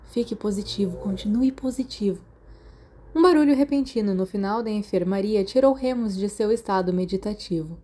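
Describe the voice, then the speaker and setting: Mellow Brazilian woman narrating a story. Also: mid-range voice, normal speed. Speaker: audiobook narrator.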